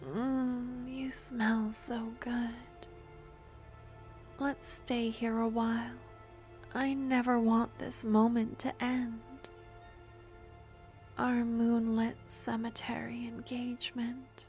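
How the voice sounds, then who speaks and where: A woman voicing an obsessive, lovesick young necromancer stalker in an intimate ASMR roleplay, with a breathy, adoring, possessive murmur that often slips into whispers. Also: on the high side, level tone, a little mellow, faint music behind. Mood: blissful. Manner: adoring, possessive, halting dramatic delivery.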